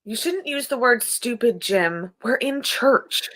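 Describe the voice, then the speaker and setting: A woman's voice: fairly high pitch, animated, slow, a bit bright, full character voice. A woman playing a prim, disapproving church lady who scolds, in a comic staged reading. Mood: indignant. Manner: prudish, scolding.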